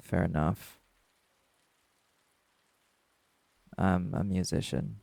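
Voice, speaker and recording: A man's voice: fairly even intonation, a little slow, darkish tone, dry recording, full character voice. A man playing a brash, guarded young werewolf musician in an audio drama, with curt, sarcastic, dismissive delivery. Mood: guarded, sardonic. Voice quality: gravelly, low. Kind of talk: dramatic audio roleplay acting.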